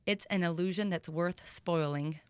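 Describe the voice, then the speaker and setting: A woman's voice: lowish voice, moderate pace, heavy background noise. A woman narrating a scripted arts magazine feature as a presenter.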